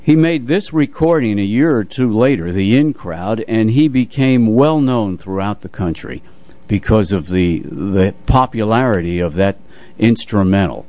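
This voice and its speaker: An older man co-hosting a radio show, casually telling anecdotes about music in an unhurried way. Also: on the low side, lively intonation, dark tone.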